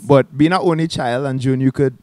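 A man's voice: mid-range voice, fairly quick, dry recording. A young man with a Trinidadian accent, talking casually and reflectively as an interview guest, holding a handheld mic in a small lounge set. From Trinidad and Tobago. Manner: relaxed, reflective, with restarts and local slang. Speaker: guest musician.

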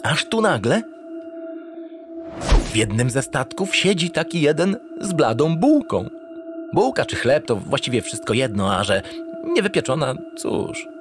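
A man's voice: medium pitch, sing-song, measured pace, music in the background. A man narrating a sci-fi comedy audio drama in a theatrical, playful way, as a cheerful, self-satisfied, dim-witted spaceship captain. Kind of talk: comedic first-person scripted narration.

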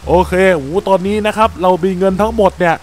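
Excitable high-voiced young man gaming. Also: fast talker, slight background noise. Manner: excitable, exclaims often, jokey. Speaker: Minecraft gameplay YouTuber. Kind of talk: playful gameplay commentary.

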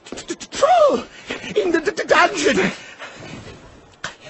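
Timid, stammering professor. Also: male voice, extremely high pitch, huge pitch swings, takes it slowly, background noise, heavily acted. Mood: terrified. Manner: heavy stammer, faltering. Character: timid, panicky stuttering male professor. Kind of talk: video game cutscene acting.

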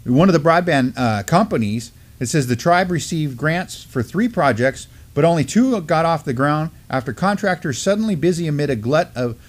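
A middle-aged man co-hosting a news show, reading and discussing articles in an informal, halting way. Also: fairly high pitch, expressive. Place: indoor studio at a desk.

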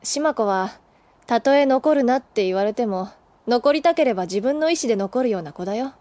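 A woman's voice: fairly high pitch, lively intonation, brisk, mellow, lightly acted. Woman as a teasing senior schoolgirl, swooping intonation. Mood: easygoing. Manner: playful, casual. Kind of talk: anime audio drama acting.

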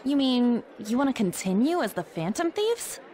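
High-voiced, sincere teenage girl. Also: sing-song, moderate pace, dark tone, a faint crowd. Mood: earnest, hesitant. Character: sincere, sensitive teenage schoolgirl. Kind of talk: English video game voice acting. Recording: music behind the voice.

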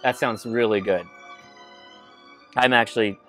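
A man's voice: on the low side, fairly even intonation, measured pace, a bit bright, faint music behind. A man giving a casual hands-on product review, informally thinking aloud as he goes. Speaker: host of a radio gear review channel. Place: indoors at a desk.

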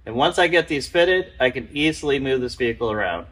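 A man in his thirties hosting a car restoration video, calmly and methodically explaining his work in a large indoor garage. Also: medium pitch, a little fast, over loud music. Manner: calm, methodical, matter-of-fact. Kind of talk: explanatory workshop walkthrough.

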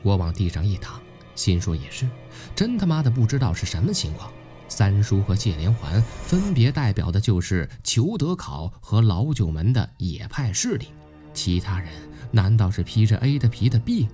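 An actor playing a young male tomb adventurer who narrates an audio drama in an uneasy, suspenseful, expressive way. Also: on the low side, moderate pace. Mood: puzzled, frustrated. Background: background music.

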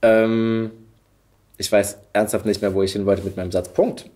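A man's voice: on the low side, little pitch movement, moderate pace, mellow. A man hosting a casual podcast chat, speaking informally and thinking aloud in loose sentences. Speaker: podcast host.

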